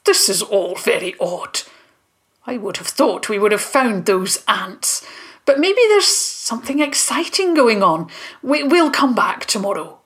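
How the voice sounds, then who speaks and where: A woman putting on a bright, brisk schoolteacher. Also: medium pitch, sing-song. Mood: puzzled. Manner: bright, instructive, upbeat. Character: brisk, cheerful female teacher. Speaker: teacher.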